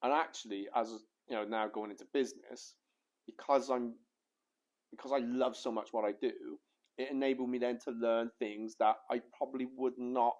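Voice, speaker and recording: A man's voice: medium pitch, fairly even intonation, normal speed, darkish tone. A man co-hosting a casual podcast, rambling and often repeating words, with jokey asides. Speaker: podcast co-host.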